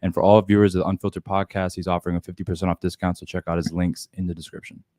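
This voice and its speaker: A young man co-hosting a podcast, rushing through a plain sponsor read with some casual swearing. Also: low voice, level tone, noisy background. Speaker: podcast co-host reading sponsors. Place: podcast studio.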